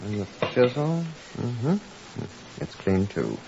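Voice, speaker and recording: An actor playing a stern, impatient police superintendent with a curt, commanding manner in a 1950s radio drama, also narrating. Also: male voice, mid-range voice, wildly swooping pitch, slow pace, gravelly, darkish tone, full character voice. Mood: grim. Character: stern, impatient male Scotland Yard superintendent. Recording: muffled, hissy 1950s radio recording.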